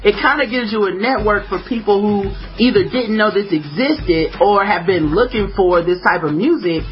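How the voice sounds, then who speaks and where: High-voiced joking man host. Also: moderate pace, dull audio, faint music behind. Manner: joking, profane, easily amused. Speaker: podcast host. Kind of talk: casual chat.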